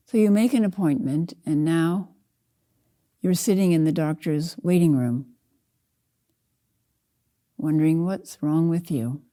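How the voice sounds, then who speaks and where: An older woman, a meditation teacher, leading a guided meditation in a measured, deliberate way, in short phrases with pauses. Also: low-pitched, warm tone. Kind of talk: slow guided meditation narration.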